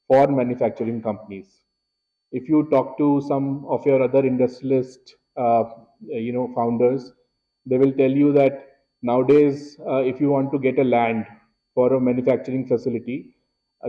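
A middle-aged man with an Indian English accent, giving a keynote speech at a podium in a large wood-paneled hall, measured and full of filler "uh"s. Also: medium pitch, lo-fi sound. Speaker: keynote speaker. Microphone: podium microphone.

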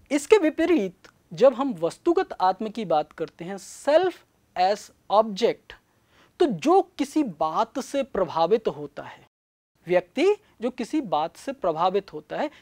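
A middle-aged man giving a scripted classroom lecture in a studio on a lapel mic, measured and explanatory. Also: high-pitched. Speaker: teacher. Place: studio with backdrop.

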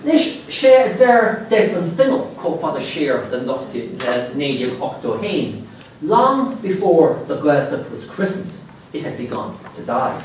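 Male keynote speaker, 30s, somewhat high voice, animated lecturing with wry asides, echoey hall, mic a little distant. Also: a little slow, slight background noise. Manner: animated, reads quotations aloud with dry asides. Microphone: lapel mic.

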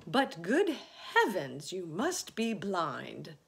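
A woman's voice: on the low side, huge pitch swings, extremely slow, creaky, slightly rough, heavily acted. A woman voicing a confident, patient young boy who explains things earnestly in a children's storybook. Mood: exasperated, apologetic. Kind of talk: children's storybook read aloud.

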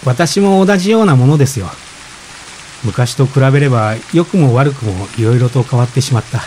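Actor as polite, philosophical husband, faint hiss. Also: male voice, mid-range voice, animated, a little fast, lightly acted, rough recording. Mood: gently reflective. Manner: polite, gentle, reflective. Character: polite, gentle expectant father, philosophical and self-effacing. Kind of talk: radio drama dialogue. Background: rain.

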